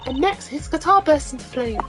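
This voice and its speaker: Woman voicing a perky, high-pitched teenage girl sidekick. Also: lively intonation, brisk, music in the background. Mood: eager, insistent.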